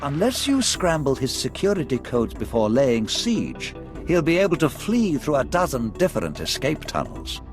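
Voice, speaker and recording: A theatrical Sith lord from a video game, higher-voiced and animated, with swooping pitch over music. He is courtly, eloquent and scheming, every line formal. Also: moderate pace. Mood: conspiratorial. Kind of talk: video game cutscene acting.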